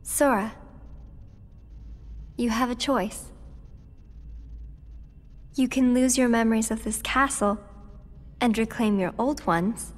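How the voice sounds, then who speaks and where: A woman voicing a gentle, sad teenage girl in a video game, speaking softly and hesitantly. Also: on the high side, slow, pauses often. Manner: soft, hesitant, wistful. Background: music.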